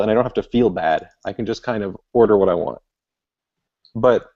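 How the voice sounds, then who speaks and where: A man's voice: lowish voice, warm tone, highs cut off. A man, a guest author, answering interview questions in a thoughtful, explanatory way with many fillers. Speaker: guest author and language learning expert.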